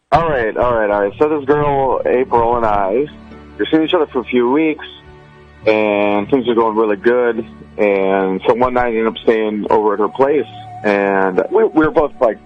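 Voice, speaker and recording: A young man on a phone call to a radio show, chatting casually in a halting, reluctant way. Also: medium pitch. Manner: halting, reluctant, repeats himself. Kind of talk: casual chat. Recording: dull phone line into radio.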